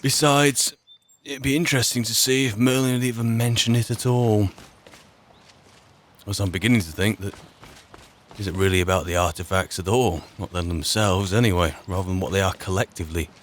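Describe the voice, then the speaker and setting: Man playing a low-voiced, wry fantasy adventurer. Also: a little fast, lightly acted. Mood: musing, planning. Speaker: player narrating a roleplay. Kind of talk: in-character game roleplay monologue.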